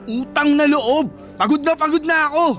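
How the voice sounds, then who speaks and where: Exasperated, very high-pitched teenage boyfriend. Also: male voice, normal speed, fairly bright tone, soft background music. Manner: exasperated, emphatic, scolding. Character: fed-up teenage boyfriend, exasperated but protective. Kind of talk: Filipino radio drama acting.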